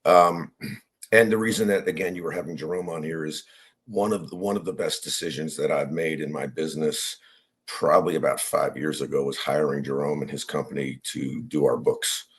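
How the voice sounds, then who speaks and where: An older man with an extremely low, deep voice talks easily about his work. He has a head cold and keeps clearing his throat as he goes. He is from New Jersey and is in his sixties. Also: fairly even intonation, normal speed. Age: 60s. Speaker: business owner guest. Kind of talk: casual interview talk.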